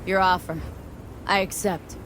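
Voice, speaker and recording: A woman's voice: lowish voice, level tone, unhurried, background noise, close to an everyday voice. A woman playing a guarded young street mercenary in a video game, talking in a sardonic, clipped, casual way. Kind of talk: naturalistic video game acting.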